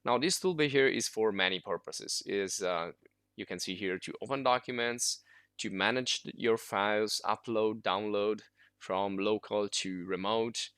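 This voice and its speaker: Bright, dry-voiced Italian man teaching software. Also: lowish voice, measured pace, clear, resonant tone, no room sound. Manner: informal, many fillers and restarts. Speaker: software instructor. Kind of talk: screen-recorded tutorial.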